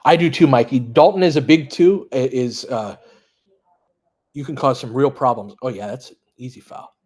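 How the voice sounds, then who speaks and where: A man with a mid-pitched, somewhat husky voice hosts a live basketball stream. He talks casually and analytically, moving between calling the action and chatting informally with his listeners. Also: normal speed, darkish tone. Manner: conversational, analytical, informal with mild swearing. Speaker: host of a fan audio play-by-play stream.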